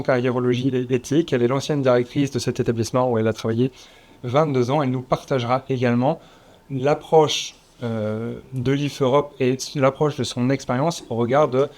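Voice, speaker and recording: A man opens a round table in front of a seated audience. His delivery is measured and slightly formal, with a voice of ordinary pitch and the odd filler as he introduces each speaker. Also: darkish tone. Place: conference room with audience.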